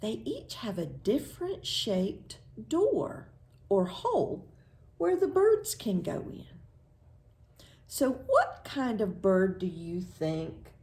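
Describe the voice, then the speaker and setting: An American woman leads a storytime for young children. Her pitch moves widely in a singsong read-aloud style, and she takes her time. She poses simple questions, leaves room for an answer, and then affirms it. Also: huge pitch swings, slow, creaky, darkish tone. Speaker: children's librarian, storytime host.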